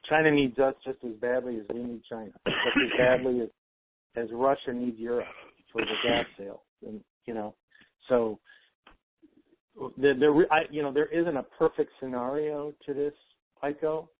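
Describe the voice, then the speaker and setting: Male call host, mid-pitched, earnest and explanatory, heard over a narrow phone line. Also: fairly even intonation, moderate pace, a little hiss or hum. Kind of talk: casual discussion on a conference call. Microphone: telephone.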